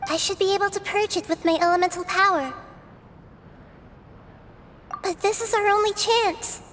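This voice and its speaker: A woman voicing a gentle, wise, childlike nature goddess in a video game, soft and measured. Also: extremely high pitch, fairly even intonation, a little hiss or hum. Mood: gentle concern. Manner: measured, explanatory, speaks in metaphors. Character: Nahida, childlike female nature deity, gentle, wise, thoughtful. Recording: game music behind.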